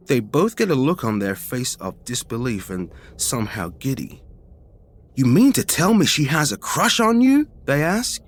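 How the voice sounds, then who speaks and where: Expressive man telling a story, lively pitch. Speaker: podcast story reader. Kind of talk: first-person ghost story narration, conversational storytelling.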